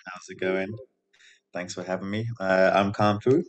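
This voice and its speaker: A man from the United Kingdom speaking as a guest DJ, easygoing and relaxed. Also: sits low, normal speed, slight background noise.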